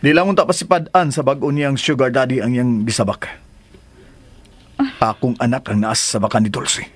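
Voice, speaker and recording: A man's voice: medium pitch, steady tone, normal speed, lightly acted. An actor with a Filipino accent playing a firm, worried expectant father in a radio drama, speaking earnestly. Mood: troubled.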